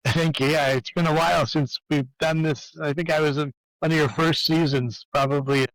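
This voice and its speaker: A man's voice: fairly high pitch, fairly even intonation, moderate pace, dry recording, poor audio. A man in his fifties from Canada, chatting in a friendly way as an interview guest. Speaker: guest clinical psychologist. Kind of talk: interview conversation.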